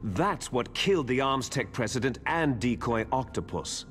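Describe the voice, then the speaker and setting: An actor playing a bitter, taunting villain, the hero's twin soldier brother, in a theatrical, smug video game cutscene style. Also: male voice, medium pitch, expressive, lightly acted. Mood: coolly explaining. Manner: theatrical, smug, drawn-out menacing delivery. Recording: loud game music behind the voice.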